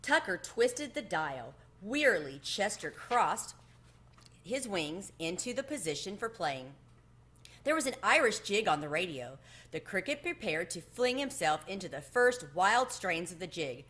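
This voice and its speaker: Bright-voiced woman reading a children's book. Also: medium pitch, sing-song, unhurried. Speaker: read-aloud storyteller.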